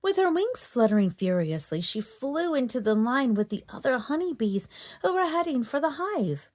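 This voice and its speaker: A woman storyteller narrating a children's book in an expressive, dramatic read-aloud manner. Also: high-pitched, moderate pace. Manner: dramatic, animated delivery for children.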